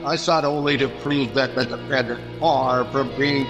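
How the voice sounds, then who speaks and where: A man's voice: medium pitch, fairly even intonation, moderate pace, lightly acted. Male actor as pointed small-town prosecutor; formal, oratorical radio drama delivery, hall reverb, faint music behind. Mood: accusatory. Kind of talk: old-time radio drama acting.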